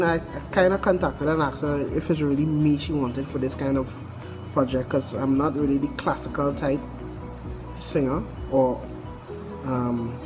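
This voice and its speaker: Singer-songwriter guy, higher voice, laid back and rambly, music underneath. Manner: relaxed, rambling, uses fillers. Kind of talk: casual interview.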